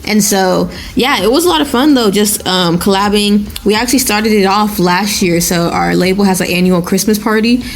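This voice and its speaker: A woman's voice: medium pitch, moderate pace, few pauses, a little hiss or hum. A woman from Nigeria, a hip hop artist guest in an interview, talking in a chatty, enthusiastic way with lots of fillers. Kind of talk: casual interview talk.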